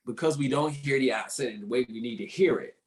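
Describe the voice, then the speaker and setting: Guy from the caribbean, actor giving comments, earnest and chatty, medium pitch. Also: fast. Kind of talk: casual Q&A comment.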